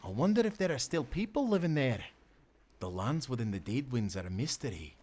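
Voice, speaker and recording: An actor playing a loyal, tender sailor in a nautical audio roleplay, speaking in an earnest, gentle way. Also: male voice, mid-range voice, very animated, brisk. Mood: curious, sympathetic. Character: loyal, tender young sailor, devoted companion.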